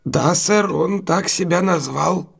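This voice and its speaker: An actor in an audio drama playing a deferential, wary but honest London cab driver, husky and halting, speaking respectfully to his betters. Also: high voice, exaggerated voice. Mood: earnest recounting.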